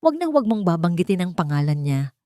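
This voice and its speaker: A woman's voice: on the low side, big pitch swings, a little fast, mellow, dry recording, studio quality. A woman voicing a guarded young male CEO in a dramatic audio love story, urgent and emotionally strained. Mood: angry.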